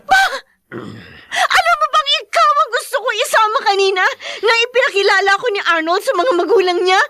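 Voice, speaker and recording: A woman's voice: very high voice, lively intonation, fairly quick, sharp tone, no echo, studio quality, full character voice. An actress playing an anguished, bitter young adult daughter of an alcoholic father in an emotional Filipino radio drama. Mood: angry, hurt. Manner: emotional, pleading outbursts.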